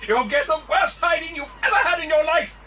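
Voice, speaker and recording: A man's voice: very high-pitched, brisk. A man playing a flustered, prim stranger who loves birds, stammering in a British accent for a children's audio drama. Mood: indignant. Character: flustered, fussy male bird-lover stranger, stammering and easily alarmed. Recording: faintly noisy old cassette recording.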